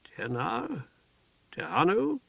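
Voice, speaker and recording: Gentle old wizard guy, read by a man, soft-spoken, gravelly edges, dull dry audiobook sound. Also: sits high, huge pitch swings, slow pace, no room sound, pitched above the performer's own voice, full character voice. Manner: soft-spoken, warm and plain. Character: gentle, weathered older wizard, calm and kind.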